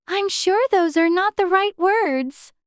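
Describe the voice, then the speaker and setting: A woman's voice: extremely high pitch, takes it slowly, dry recording, studio quality. A woman voicing an anxious, chatty little girl in a dramatized storybook, fretfully talking to herself in prim childish exclamations. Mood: reciting. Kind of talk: dramatized audiobook character acting.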